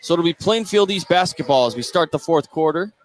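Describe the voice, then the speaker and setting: A man doing live basketball play-by-play as the announcer, energetic and lifting his voice on scoring plays. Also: fairly high pitch, fairly quick. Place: indoor gym at basketball tournament. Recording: noisy gym ambience behind voice. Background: gym ambience.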